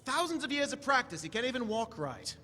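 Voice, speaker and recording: In a reverberant hall, a man performs a clever alien adventurer in an audio drama. His voice is high and animated, and he explains with confident, wry eccentricity. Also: a little fast, background noise. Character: eccentric, clever, quick-talking male alien time traveller. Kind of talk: live stage audio drama. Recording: echoey hall stage recording.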